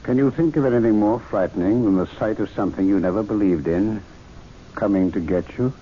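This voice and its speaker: An actor playing a composed, wry gentlemen's club member in an old-time radio drama, with a formal and grave manner. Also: male voice, on the low side, a little fast, natural voice. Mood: solemn, knowing. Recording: dull lo-fi radio recording with hiss.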